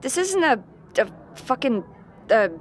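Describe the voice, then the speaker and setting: An actress playing a short-tempered, bossy noblewoman on the run in a fantasy audio drama, gruff and profane. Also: high voice, big pitch swings, measured pace, slight background noise. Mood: curt, exasperated. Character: foul-mouthed, short-tempered fugitive noblewoman commanding a party.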